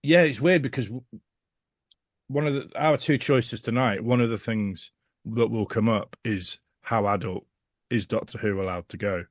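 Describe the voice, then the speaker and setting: Low-voiced man in a dry, close recording. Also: normal speed, no room sound. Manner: blunt, sweary. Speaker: podcast guest. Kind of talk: casual, opinionated podcast chat.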